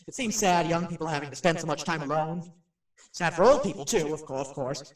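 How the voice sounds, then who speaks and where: A man's voice: fairly high pitch, a little fast, lightly acted. An actor playing a nervous, chatty radio personality who rambles and stammers his way through a haunted house in a radio drama. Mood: lonely, melancholy. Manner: jittery, stammering, rambling, self-interrupting. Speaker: radio host. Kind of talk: radio drama acting, rambling live monologue. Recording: roomy, distant microphone.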